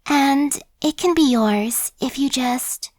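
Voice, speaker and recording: A woman's voice: high voice, slow, a little mellow, dry recording, clean studio sound. A woman playing a chatty, sly young female zombie in an ASMR roleplay, talking in a slangy, cajoling, buddy-like way. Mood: coaxing. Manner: casual slangy wheedling, buddy-like.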